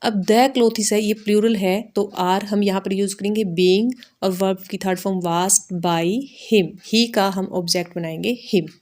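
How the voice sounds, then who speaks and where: A woman's voice: mid-range voice, steady tone, unhurried, few pauses, darkish tone. Indian woman teaching step by step. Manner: instructional, repetitive, checking understanding with short tags. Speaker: English grammar teacher. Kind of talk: explanatory grammar lesson, step by step.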